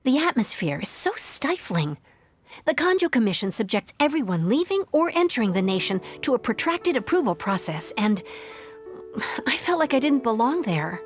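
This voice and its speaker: A woman's voice: a little high, sing-song, moderate pace. A woman voicing an earnest young woman who escaped her strict homeland, in a video game. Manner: earnest, slightly awkward storyteller. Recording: game audio with soft background music.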